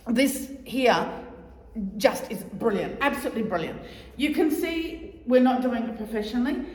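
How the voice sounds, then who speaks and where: Woman, middle-aged, loud voice, chatty and jokey, repeats herself, echoey hall. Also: on the high side. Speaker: guest speaker on oral history. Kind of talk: informal talk to a society audience.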